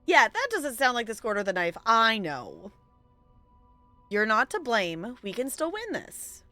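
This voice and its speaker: A woman chats playfully as she streams a game. Her voice is bright and very lively in pitch, and her style is casual. Faint music plays underneath. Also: a little slow, no echo. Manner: playful, chatty, giggly. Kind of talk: casual live gameplay commentary.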